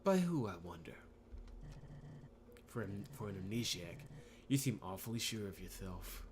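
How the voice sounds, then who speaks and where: A man's voice: fairly low pitch, sing-song, moderate pace, darkish tone. A man voicing a bitter, taunting, injured man in a visual novel, sardonic and dismissive. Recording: clear background noise.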